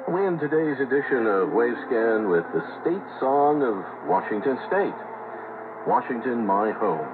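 American male radio host, scripted announcing, animated intonation, thin shortwave sound. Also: medium pitch, measured pace. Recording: noisy, phone-like shortwave radio reception.

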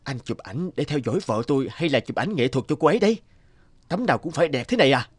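Philandering office boss, middle-aged, smug and distrustful, animated series. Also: male voice, medium pitch, fast, breathy edge, no echo. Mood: suspicious, indignant. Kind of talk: cartoon dialogue.

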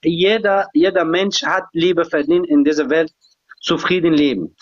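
High-voiced man speaking halting broken German. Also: fairly even intonation, no room sound. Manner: halting, broken non-native German, many restarts. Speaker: guest in a live debate. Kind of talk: casual debate. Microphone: phone in a live video call. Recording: dry lo-fi phone audio.

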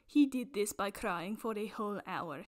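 A woman putting on a prim British nature documentary narrator voice, hosting a parody nature vlog with pompous, mock-solemn deadpan. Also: medium pitch, normal speed, background noise, natural voice. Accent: put-on British. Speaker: host.

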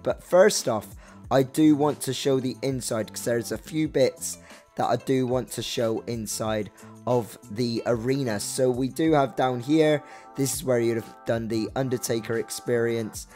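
A young man with a croaky voice narrating a casual walkthrough of a Minecraft build, chatty and rambling. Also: mid-range voice, measured pace, darkish tone, soft background music. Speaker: Minecraft builder showing his map.

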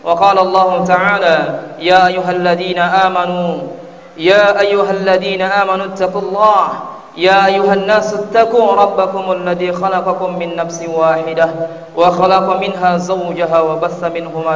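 Man, high pitch, formal sermon oratory, mosque pulpit microphone, long hall reverb. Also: fairly bright tone, highs cut off. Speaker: preacher delivering Friday sermon. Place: tiled mosque interior, at the pulpit. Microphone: mounted microphone at the pulpit. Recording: echoey hall, distant amplified voice.

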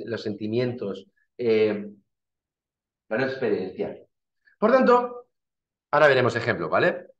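A male university lecturer, explaining slides informally with everyday examples and frequent restarts. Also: mid-range voice. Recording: dull, roomy lecture recording.

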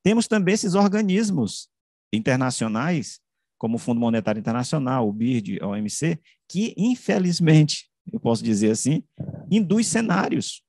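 A man's voice: medium pitch, very animated, moderate pace, a little mellow, no echo. Brazilian man lecturing in a dry-sounding room. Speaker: academic presenter. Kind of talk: explanatory online lecture presentation with slides. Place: indoor room, online video call. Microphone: video call microphone.

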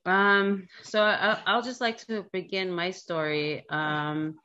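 A woman with a cold who is losing her voice speaks haltingly as a panel speaker on a video call. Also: on the low side, steady tone, sharp tone. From Canada. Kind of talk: webinar talk. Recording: dull lo-fi video call audio.

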